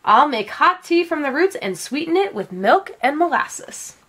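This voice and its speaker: Woman doing an eager lil country girl from a storybook, highish lilting voice, loves food. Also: very animated, moderate pace, sharp tone, exaggerated voice.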